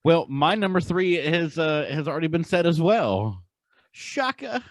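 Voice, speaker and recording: A man's voice: fairly high pitch, very animated, measured pace, dry recording, clean studio sound. A man hosting a podcast, talking in casual conversational chat. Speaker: podcast host.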